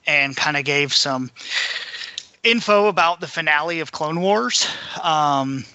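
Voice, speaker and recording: Bright, forceful-voiced man on a podcast. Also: on the high side, dry recording. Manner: informal, conversational. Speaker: podcast panelist. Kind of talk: casual news rundown and discussion.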